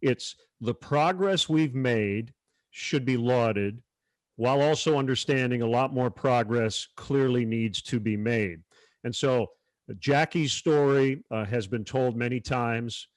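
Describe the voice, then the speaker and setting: A man with a mid-pitched voice moderates a panel in a conversational manner. The sound is dry, with very little room around the voice. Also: slow, no room sound. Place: virtual panel over video call.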